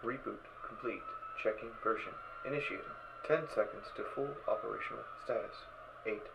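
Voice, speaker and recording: A man's voice: lowish voice, brisk, creaky, a bit bright. A man voicing an impassive spacesuit computer with a metallic voice and clipped, procedural announcements. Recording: dull recording with faint noise.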